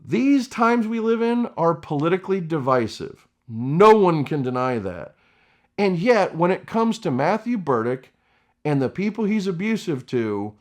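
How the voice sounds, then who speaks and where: A man giving vlog commentary with emphatic, deliberate phrasing. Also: sits high.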